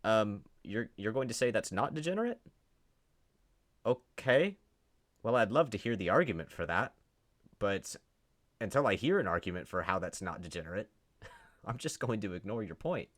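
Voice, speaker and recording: A man giving unscripted commentary, reading posts aloud and reacting in a sarcastic, incredulous way. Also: on the low side. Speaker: commentary host.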